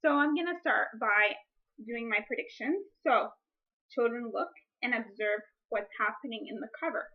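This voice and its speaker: A woman with a high-pitched voice demonstrates how to read aloud to children. She speaks as a teacher, deliberately, drawing out wondering questions. The recording is dull and lo-fi.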